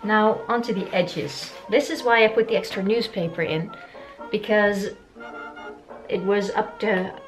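A woman's voice: mid-range voice, fairly even intonation, measured pace, faint music behind, low-quality recording. A woman in her thirties chatting to the camera from her living room as she shows a craft project, wondering aloud and poking fun at her own skills. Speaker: sewing vlogger. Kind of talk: casual tutorial talk to camera.

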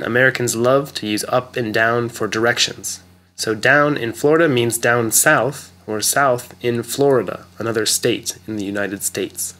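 Male English teacher, clear careful narration, mid pitch. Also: a bit bright. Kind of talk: slow scripted lesson narration.

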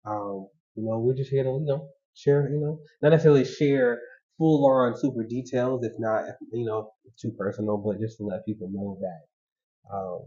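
Host: relaxed man, allergy-stuffy, muffled lo-fi living-room sound. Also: medium pitch, normal speed. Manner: relaxed, lots of fillers, soft-spoken. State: congested from allergies. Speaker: podcast host. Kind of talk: casual interview. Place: living room, sitting on a couch.

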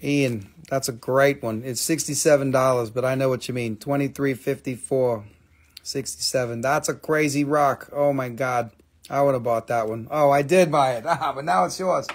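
A middle-aged man hosting a live sales stream, talking casually and excitedly, exclaiming and swearing as he shows stones at a table indoors. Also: medium pitch, slightly rough. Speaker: live-stream gem seller.

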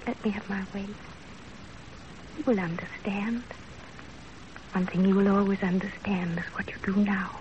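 An actress in 1930s radio drama style playing a gentle, dutiful young Japanese wife with earnest, formal phrasing. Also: female voice, medium pitch, steady tone, moderate pace, long pauses, lightly acted. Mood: tender, resolute. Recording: dull, noisy 1930s radio recording.

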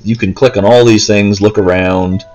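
Male gameplay host: lowish voice, informal, unscripted, meandering and hesitant. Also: steady tone, normal speed, darkish tone, faint music behind. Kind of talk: casual gameplay commentary. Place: indoors, in a room while playing a handheld console.

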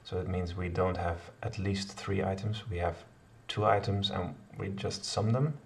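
A man's voice: deep voice, steady tone, moderate pace. A middle-aged man live-coding at home, thinking aloud in a hesitant way with many fillers and self-corrections. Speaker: programmer live-coding a kata. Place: home office, indoors. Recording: distant voice with clear background noise. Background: keyboard typing and clicks.

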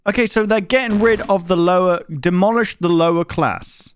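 A man's voice: high voice, normal speed, smooth. A man hosting a reaction video, chatting casually with an informal, joking, sweary manner.

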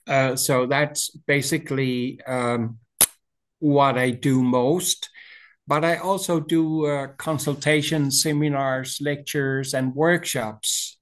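An older man from Denmark with a Danish accent, speaking English as his second language on a video call, halting with many restarts and throat clearing. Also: mid-range voice, steady tone. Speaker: author and voice teacher. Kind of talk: interview over video call. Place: small room indoors. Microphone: video call webcam.

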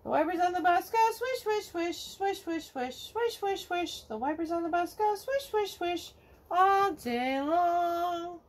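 A middle-aged woman reading a children's picture book aloud to kids at home, slowly singing the verses in a sing-song way. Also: very high voice, expressive. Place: home living room, indoors.

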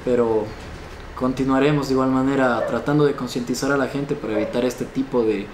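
A man's voice: mid-range voice, fairly even intonation, a little fast. A young man in his twenties with an Ecuadorian accent gives a formal, stiff official police statement for local news. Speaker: police chief, spokesman. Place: small indoor office, seated. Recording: echoey room with background noise.

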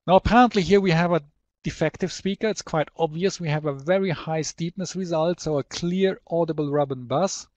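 Higher-voiced man presenting a webinar. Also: brisk, darkish tone, no room sound. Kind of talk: product demonstration and slide presentation.

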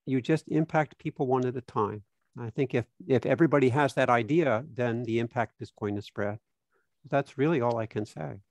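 A man's voice: medium pitch, a little mellow, dry recording. Plain-spoken man on a headset mic. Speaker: panelist. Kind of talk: panel discussion answer. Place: indoor room with ceiling fan. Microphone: headset on video call.

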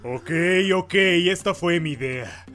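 A man's voice: mid-range voice, very animated, slow pace, a bit bright, soft background music. A man voicing a deceitful, touchy big guy in a melodramatic comedy fan dub. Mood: solemn confession.

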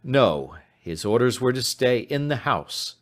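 A man voicing an anxious, despairing young gentleman in an audiobook, formal and earnest in manner. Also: mid-range voice, very animated, a little slow, pitched above the performer's own voice. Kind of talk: audiobook character voice.

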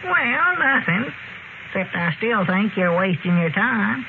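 A man's voice: high-pitched, very animated, unhurried, creaky, full character voice. A man playing an elderly, skeptical backwoods storekeeper with a rural Southern accent and folksy talk, in radio comedy style. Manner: folksy, drawling country talk. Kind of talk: 1930s-40s radio comedy acting. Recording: old radio broadcast, narrow band, noisy.

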